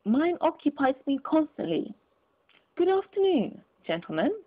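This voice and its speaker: A woman's voice: high voice, sing-song, measured pace, voice pushed up. A woman voicing a cold, curt, indignant old miser in a dramatised storybook reading. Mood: curt, dismissive. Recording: muffled phone-like narrow sound.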